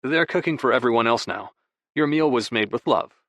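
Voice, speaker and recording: Audiobook role: devoted young husband, quiet, tender, lightly playful, mid-pitched, read by a male narrator, dry close recording. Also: moderate pace, no echo, lo-fi sound, lightly acted. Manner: quiet, intimate, affectionate. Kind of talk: audiobook dialogue.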